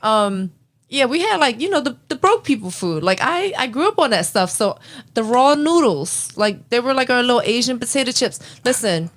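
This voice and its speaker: A young woman co-hosting a casual podcast, blunt and animated, swearing freely as she talks. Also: on the high side, normal speed. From Cambodian-American family. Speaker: podcast co-host. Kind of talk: casual chat.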